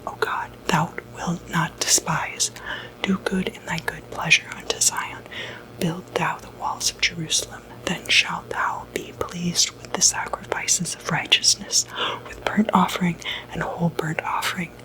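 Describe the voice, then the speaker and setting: A woman playing a gentle, reassuring doctor who treats demonic possession, in a hushed, soothing ASMR medical roleplay. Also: fairly low pitch, flat delivery, rough recording. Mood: solemn, prayerful. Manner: hushed, unhurried, hesitant, soothing.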